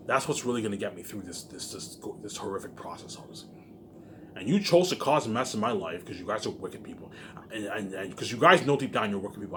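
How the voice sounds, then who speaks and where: A man's voice: fairly low pitch, a little hiss or hum. A man in an agitated, confrontational unscripted rant, repeating himself and swearing often. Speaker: online video maker.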